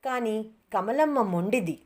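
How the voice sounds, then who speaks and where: A woman's voice: a little high, animated, measured pace, darkish tone. A woman storyteller reading a scripted moral tale as narrator.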